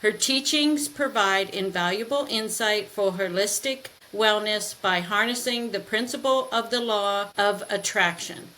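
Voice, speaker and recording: A woman's voice: medium pitch, a little slow, few pauses, sharp tone, low-quality recording. Woman co-host reading a podcast intro. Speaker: podcast co-host, real estate agent.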